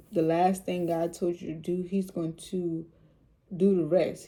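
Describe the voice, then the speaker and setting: A woman talks about faith in a casual vlog. Her voice is low and soothing, with a gravelly edge and a very dark, mellow tone. She rambles, repeats herself and fills pauses with "um" and "like.". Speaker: vlogger.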